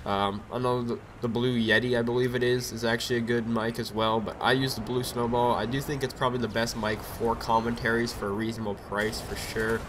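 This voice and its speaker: A man's voice: medium pitch, level tone, brisk, few pauses, background noise. Young man with a cold, rambling over gameplay. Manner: rambling, filler-heavy, laid-back. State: has a cold, a bit raspy. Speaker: gameplay commentator. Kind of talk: casual gameplay commentary. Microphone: USB desk mic.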